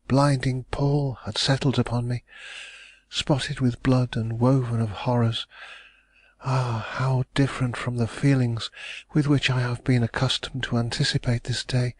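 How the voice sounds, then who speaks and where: Brief: male reader doing a distraught young rich woman, higher voice, dry read. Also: breathy edge, no room sound. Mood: troubled. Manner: emotional, breathless pleading.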